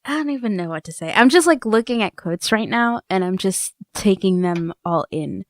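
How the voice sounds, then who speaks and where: Woman chatting as co-interviewer, informal, bit halting, mid pitch, super dry close recording. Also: expressive, no echo. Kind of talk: casual conversation.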